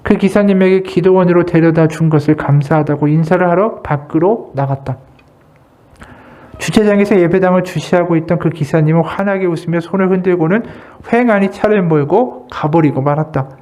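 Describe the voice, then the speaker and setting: A man preaching at a Sunday service in a high voice. He speaks earnestly, as a pastor urging his congregation, and sets out his message in measured, short phrases. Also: mellow. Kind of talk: Sunday sermon with read-aloud testimony and prayer.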